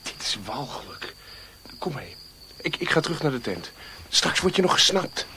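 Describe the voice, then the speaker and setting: An actor playing an imperious, indignant man of a caravan in a radio play, forceful and scolding. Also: a little high, very animated, normal speed. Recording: noisy archive radio play recording.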